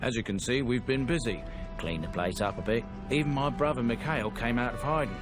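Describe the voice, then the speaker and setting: An actor playing an easygoing, chatty dwarf trader in a game. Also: male voice, mid-range voice, brisk, lightly acted. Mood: friendly. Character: friendly male dwarf trader. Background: game music.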